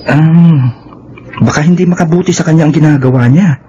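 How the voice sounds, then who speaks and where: Guy playing tactful filipino buddy, kinda high voice, hesitant, radio drama, muffled noisy audio. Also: fairly quick, dark tone, lightly acted. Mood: concerned. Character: concerned, tactful male coworker and buddy.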